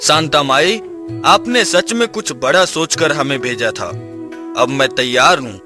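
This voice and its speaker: A man's voice: a little high, expressive, measured pace, over music. A man voicing a humble, honest and brave village father in a cartoon tale, earnest and reassuring. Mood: solemn, devout.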